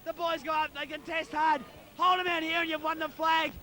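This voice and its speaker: An Australian man co-commentating live on amateur football, excitedly urging the players on in colloquial talk while losing his voice. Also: very high-pitched, fairly quick. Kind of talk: partisan live sports commentary.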